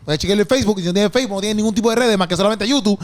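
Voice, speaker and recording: A man's voice: high-pitched, very fast. A man hosting a casual podcast, playful and promotional, stretching his stressed words. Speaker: podcast host and comedian. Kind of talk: casual chat.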